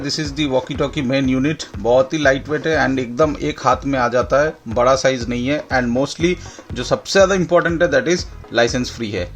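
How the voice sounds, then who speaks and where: A man's voice: mid-range voice, fairly bright tone. A man with an Indian accent hosting a casual product demonstration, explaining step by step and repeating points for clarity. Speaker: product demonstrator and channel host. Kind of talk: casual product demo mixing Hindi and English. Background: background music.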